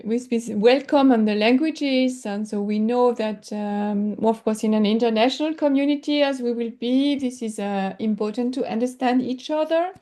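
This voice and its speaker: A woman with a French accent lecturing in English over a video call, pausing often and searching for words with many fillers. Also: fairly high pitch, warm tone. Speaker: workshop lecturer. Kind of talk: online teaching session.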